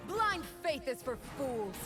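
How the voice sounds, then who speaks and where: A woman's voice: sits high, very animated, slow, heavily acted. A woman playing a bitter, brooding fallen celestial of darkness in a video game, speaking with dry scorn and an ominous manner. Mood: mocking scorn. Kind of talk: video game character voice lines. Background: music.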